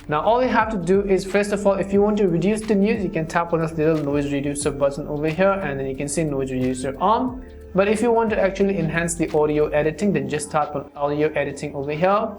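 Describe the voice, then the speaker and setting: A how-to host with a mid-pitched voice narrates a scripted tutorial. The delivery is wordy and instructional, moving through things step by step. Music plays behind the voice. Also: quick pace, a little mellow. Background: background music.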